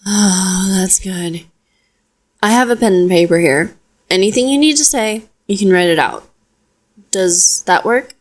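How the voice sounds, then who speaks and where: A woman playing a caring, frank witch in an audio roleplay, speaking to the listener in a gentle, conversational way. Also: mid-range voice, lively intonation, unhurried. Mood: concerned, gentle. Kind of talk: intimate audio roleplay acting, addressing listener.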